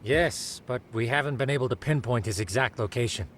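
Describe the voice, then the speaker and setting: An actor playing a polite, earnest associate in a video game, speaking courteously and informatively. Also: male voice, medium pitch, brisk, slight background noise, natural voice. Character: polite, earnest male associate giving updates. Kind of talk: naturalistic video game cutscene acting.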